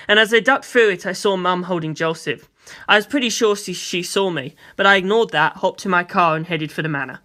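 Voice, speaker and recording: A narrator reading a first-person story aloud as an audiobook, in an expressive, conversational and dramatic way. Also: mid-range voice. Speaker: audiobook reader.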